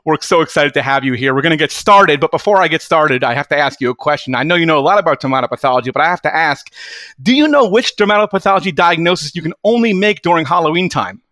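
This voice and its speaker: A man's voice: fairly high pitch, lively intonation, fast, bright, forceful tone, no echo. A young man hosting a podcast, chatty and enthusiastic, introducing his guest with lots of energy. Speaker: podcast host.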